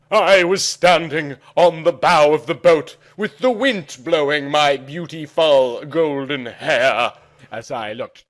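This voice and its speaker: A man's voice: medium pitch, a bit bright, no echo. A man narrating a first-person story in a theatrical, dramatic amateur reading style.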